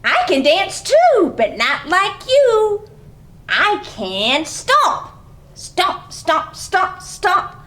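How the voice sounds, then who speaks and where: A woman's voice: very high-pitched, huge pitch swings, drawn-out words, fairly bright tone, voice pushed up, full character voice. A woman voicing a cheerful storybook sheep who bleats and stamps out emphatic words. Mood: playful.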